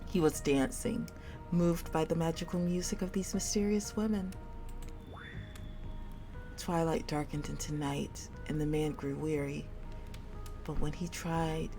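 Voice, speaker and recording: Low-pitched woman reading a story over music. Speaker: video game streamer reading dialogue aloud. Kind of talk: storytelling read-aloud of a dating sim game. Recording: music behind the voice.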